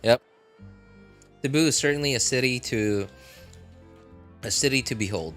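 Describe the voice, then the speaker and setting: A man talking casually on a live game stream in a rambling, halting way, often correcting himself. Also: medium pitch, a bit bright, soft background music.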